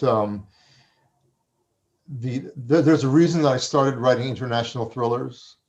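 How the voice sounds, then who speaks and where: A man's voice: mid-range voice, a little mellow. Thoughtful male author, muffled recording. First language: Farsi. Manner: thoughtful, pauses and restarts often. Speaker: author being interviewed. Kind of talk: reflective conversational interview.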